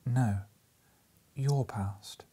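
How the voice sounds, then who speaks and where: A man voicing an ancient childlike ghost in an audiobook, soft and breathy, gentle yet solemnly reproachful. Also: mid-range voice, extremely slow.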